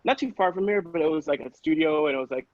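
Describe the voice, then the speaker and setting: A man with a fairly high voice chats casually in a rambling, filler-heavy way. The sound is close and dry, though slightly muffled. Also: fast, no room sound. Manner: rambling, peppers talk with "like". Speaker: podcast cast member. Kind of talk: casual chat.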